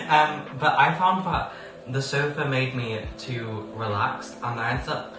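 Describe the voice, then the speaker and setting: A man's voice: mid-range voice, steady tone, takes it slowly, sharp tone, faint music behind. A sixteen-year-old man hosting a casual podcast, playful and rambling, laughing often as he talks. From England. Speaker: podcast host. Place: indoors at a table. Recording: roomy sound from a distance with background noise.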